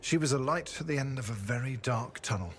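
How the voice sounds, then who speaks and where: An actor playing a haunted, earnest ex-spy in a video game, with a measured, reflective delivery. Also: male voice, medium pitch, a bit bright, natural voice. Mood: grave, reflective. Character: grave, haunted, earnest defected spy, grown man. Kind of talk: video game dramatic acting.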